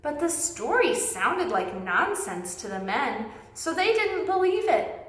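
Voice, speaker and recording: A woman reading aloud and talking to young children in simple, emphatic, animated phrases, like a teacher telling a story. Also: high-pitched, measured pace. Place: indoors in an empty classroom. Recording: roomy, echoey classroom sound.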